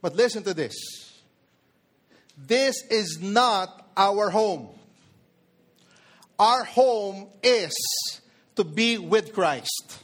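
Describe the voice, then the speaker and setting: A man's voice: sits high, animated, extremely slow, pauses often. A man in his forties with a Filipino accent, delivering an emphatic sermon and putting questions to his listeners. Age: 40s. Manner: code-switches between English and Tagalog. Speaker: preacher.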